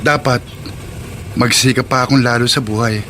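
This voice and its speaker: A man's voice: mid-range voice, fairly even intonation, moderate pace, close to an everyday voice. An actor playing a humble, respectful father in a radio drama, speaking politely and earnestly. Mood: earnest, resolved. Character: humble, respectful abandoned husband, resolved father. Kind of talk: Tagalog radio drama acting. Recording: clear background noise, engine-like ambience.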